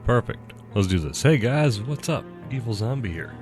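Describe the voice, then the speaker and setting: A man's voice: medium pitch, animated, normal speed, music in the background. A man streaming a game, talking in a chatty, rambling way with a stuffy nose from allergies. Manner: chatty, rambling, self-deprecating. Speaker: gaming streamer. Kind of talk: casual game commentary.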